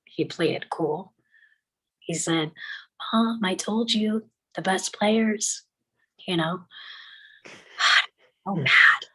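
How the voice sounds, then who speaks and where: Raspy-edged, bright-voiced grieving mother, acted by a woman. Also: mid-range voice, exaggerated voice, rough recording. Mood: wistful, animated. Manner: halting, emotional, drops into whispers. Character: grieving mother recalling her young son, anguished but tender. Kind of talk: dramatic table read of a screenplay.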